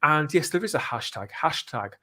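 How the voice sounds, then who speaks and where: High-voiced man hosting a podcast. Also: expressive, normal speed, sharp tone. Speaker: podcast host. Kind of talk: live fan podcast chat.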